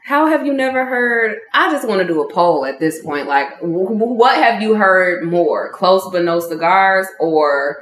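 A woman's voice: medium pitch, animated, a little slow, few pauses, fairly bright tone. A woman from the Chicago suburbs guesting on a podcast, playful and laughing in casual chat. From Chicago south suburbs. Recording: echoey room.

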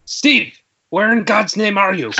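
A man's voice: high voice, expressive, a little slow, gravelly, background noise, exaggerated voice. A man playing an exasperated, scolding film crew member in a screenplay table read over a dull internet call.

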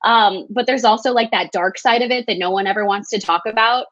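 A woman's voice: medium pitch, fairly quick, a bit bright, no room sound. Candid woman guest on a dull line. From El Paso, Texas. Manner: reflective, candid storyteller. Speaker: guest, recruiter. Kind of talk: casual interview storytelling. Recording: dry, slightly dull sound.

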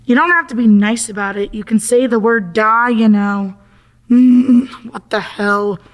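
A woman's voice: on the high side, unhurried, close to an everyday voice. A woman playing a confused, exasperated accident victim in an audio drama, with sarcastic, dramatic, slangy delivery. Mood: panicked. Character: confused, exasperated accident victim stuck in afterlife waiting room.

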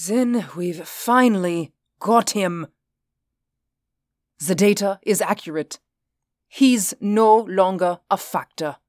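A man's voice: sits high, slow, long pauses, a little mellow, dry recording, clean studio sound, voice pushed up. A man voicing an agitated, demanding security chief in an audiobook story. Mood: relieved, curt. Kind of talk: audiobook character dialogue.

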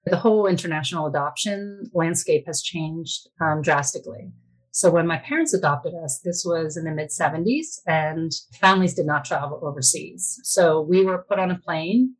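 Low-voiced American woman telling her story. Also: moderate pace, rough recording. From born in Korea, raised in the United States. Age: 50s. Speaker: interview guest, Korean adoptee. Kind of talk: reflective personal storytelling.

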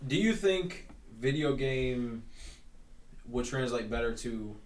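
A man's voice: mid-range voice, lively intonation, takes it slowly. A man co-hosting a podcast, chatting casually with friends. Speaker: podcast co-host. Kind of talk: casual chat among friends. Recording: echoey, off-mic, noisy room.

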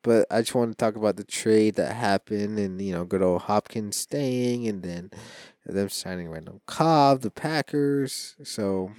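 A man's voice: fairly low pitch, a little slow, a little mellow, no echo, studio quality. Stuffy-voiced man, rambling podcast chat. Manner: rambling, jokey, restarts often. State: stuffy from allergies. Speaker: podcast co-host. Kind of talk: casual sports chat.

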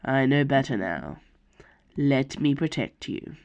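A woman voicing a sullen deadpan bodyguard man. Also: a bass voice, slow, no room sound, lo-fi sound, pitched below the performer's own voice, heavily acted. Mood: insistent. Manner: curt, deadpan and insistent.

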